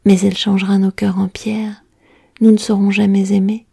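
Woman whispering jealous scheming girls, folk tale, conspiratorial hiss, gravelly fry, dark tone. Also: medium pitch, level tone, normal speed, no room sound, studio quality. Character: band of jealous, scheming young women.

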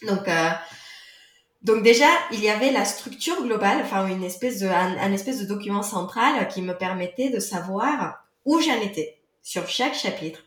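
A woman for whom French is not her first language, answering podcast questions as an author. She talks in halting phrases, often stopping and starting over. The sound carries a noticeable room echo. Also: medium pitch, animated. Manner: hesitant, many fillers and restarts. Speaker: guest author. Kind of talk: podcast interview.

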